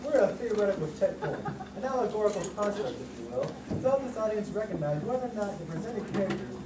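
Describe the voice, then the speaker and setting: A man's voice: high-pitched, big pitch swings, fast. A man playing an earnest, mock-formal airport checkpoint official in an amateur church puppet show. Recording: distant echoey recording with loud noise.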